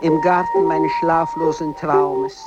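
Poetry reader, very slow literary recitation, slightly dull sound under prominent music. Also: medium pitch, fairly even intonation. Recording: muffled, loud music over the voice. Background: loud music.

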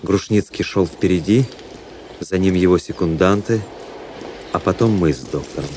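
Actor as low-voiced, composed officer recounting a duel. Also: male voice, noisy background, close to an everyday voice. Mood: brooding, composed. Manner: measured, literary, composed. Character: cool, proud, fatalistic young officer recounting his duel. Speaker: narrator and lead actor. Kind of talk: radio drama narration.

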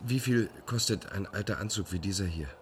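A deep male voice of an actor plays a fugitive former clerk in a radio play. He is formal, measured and proudly cynical, over a clearly audible bed of street-like background noise. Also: close to an everyday voice. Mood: hesitant, polite. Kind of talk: German radio play acting.

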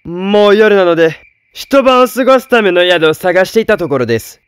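A man voicing a sly male bandit chief disguised as a merchant, who talks in a falsely friendly, smooth way. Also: high-pitched, lively intonation, normal speed, dry recording. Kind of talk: storybook voice acting.

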